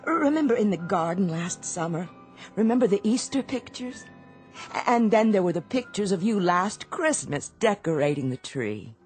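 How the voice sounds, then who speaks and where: An actress playing a gentle older mother in a radio drama, hesitant and anxious, with a husky, breathy voice. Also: mid-range voice, sing-song, moderate pace, faint music behind, lightly acted. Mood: flustered reassurance.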